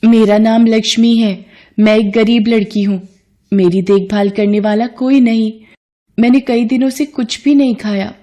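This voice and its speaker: A woman's voice: fairly high pitch, fairly even intonation, normal speed, dark tone, voice pushed up, lightly acted. A woman voicing a devoted, sweet and eager goddess wife in a devotional story, respectful in manner. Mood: humble pleading. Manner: respectful, pleading, wonder-struck. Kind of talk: devotional story dramatization in Hindi.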